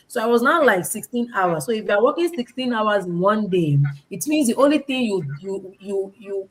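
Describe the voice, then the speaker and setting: A woman's voice: mid-range voice, rough recording. Emphatic woman presenting online. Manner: emphatic, repeats phrases, asks rhetorical questions. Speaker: online presenter, business owner and educator. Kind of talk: informal online business presentation.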